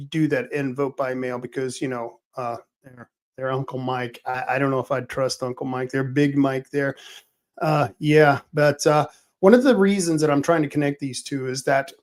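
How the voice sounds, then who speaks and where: A middle-aged man with a somewhat high voice hosts a political livestream. He talks casually, with many restarts and fillers. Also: darkish tone. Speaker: political talk show host.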